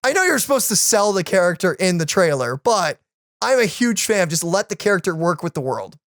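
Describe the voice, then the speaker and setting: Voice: mid-range voice, sing-song, fairly quick, a bit bright, dry recording, clean studio sound. An enthusiastic reaction video co-host chatting casually and conversationally about what was just watched.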